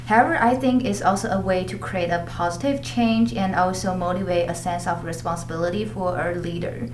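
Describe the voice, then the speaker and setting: Teen girl, measured english, echoey room. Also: fairly low pitch. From China. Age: teens. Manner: measured, slightly non-native phrasing. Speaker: student guest. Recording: echoey room, faint noise.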